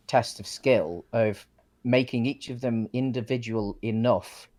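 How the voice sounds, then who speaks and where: A man co-hosts a casual film chat at a middling pitch. He reasons aloud thoughtfully, hesitating and circling round his phrases as he goes. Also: darkish tone. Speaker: co-host of a film discussion show.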